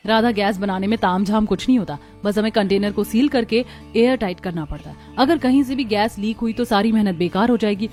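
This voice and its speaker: A woman's voice: mid-range voice, fairly quick, few pauses, a little mellow, soft background music, close to an everyday voice. A woman voicing a confident eldest daughter-in-law in a village cartoon, explaining things in an assured, instructive way. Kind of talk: scripted cartoon dialogue.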